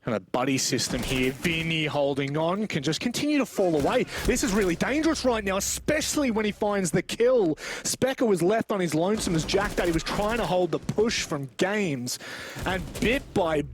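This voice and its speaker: A man doing live esports play-by-play commentary, dramatic and animated in his reactions, with casual banter mixed in. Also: high voice, normal speed, background noise.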